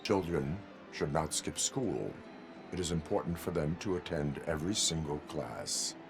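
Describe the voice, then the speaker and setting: Cyborg lawman for a video game: very low male voice, formal, clipped, robotic and dutiful, faint music behind. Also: measured pace, vocal fry, full character voice. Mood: stern, questioning. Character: stiff, dutiful cyborg police officer, part man part machine.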